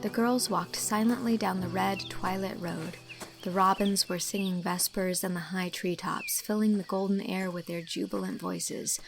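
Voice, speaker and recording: A woman narrates a classic novel in an expressive storyteller's style. Her medium-pitched voice has a noticeable creak, and music plays clearly beneath it. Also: normal speed. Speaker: audiobook narrator. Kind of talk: expressive scripted literary narration.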